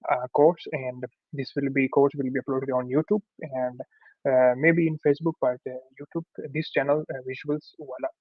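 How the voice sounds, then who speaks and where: A man's voice: fairly high pitch, no room sound. Hesitant non-native man, tinny sound. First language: not English. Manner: hesitant, many fillers and restarts. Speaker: tutorial host. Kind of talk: unscripted course introduction with screen share.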